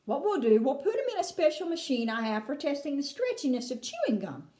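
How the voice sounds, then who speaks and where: A woman puts on an eccentric storybook showman, breezy and flippant. He gives orders in a high, highly animated voice with roomy echo. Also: a little fast. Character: breezy, eccentric, unbothered man, a showman inventor. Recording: roomy, echoing room sound.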